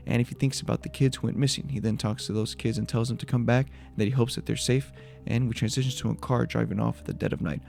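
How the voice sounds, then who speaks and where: A man's voice: medium pitch, vocal fry, darkish tone. A man giving a scripted breakdown of a horror video as its creator, in voice-over narration.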